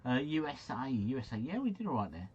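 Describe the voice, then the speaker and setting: A man's voice: medium pitch, very animated, fast, dull audio, a little hiss or hum. A man hosting a livestream, playing a quiz game while chatting with viewers, reading out their messages, and stumbling over foreign names. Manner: chatty, jokey, reads chat messages aloud, mumbles foreign names. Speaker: livestream host.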